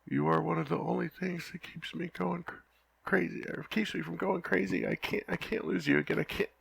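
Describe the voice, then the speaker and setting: A young man playing a lovesick, flustered knight in an ASMR roleplay, talking softly and haltingly with frequent stammers. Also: on the high side, steady tone, creaky, a little hiss or hum. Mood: anxious, pleading. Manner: halting, stammering, soft-spoken.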